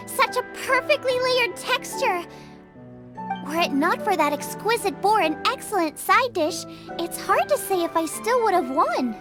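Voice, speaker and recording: A woman's voice: big pitch swings, measured pace, heavily acted. A woman voicing a cheerful, generous young girl chef in a video game, with a girlish, eager and encouraging delivery. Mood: delighted. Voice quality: girlish, high-pitched. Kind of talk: English video game voice acting. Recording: music playing behind the voice.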